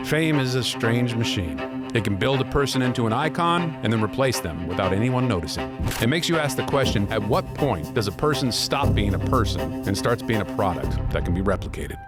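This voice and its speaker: An actor playing a probing host of a conspiracy mystery podcast, brooding and measured as he narrates and questions in a scripted drama. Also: medium pitch, animated, over loud music, close to an everyday voice.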